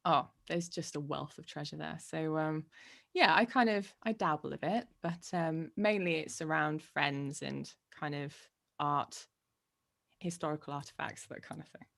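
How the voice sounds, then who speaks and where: A young woman with a low voice chats as a guest over a video call. She laughs often and pokes fun at herself. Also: moderate pace, vocal fry, fairly bright tone. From Hungary. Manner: laughs often, chatty and self-deprecating. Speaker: guest author and PhD student. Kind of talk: casual interview chat.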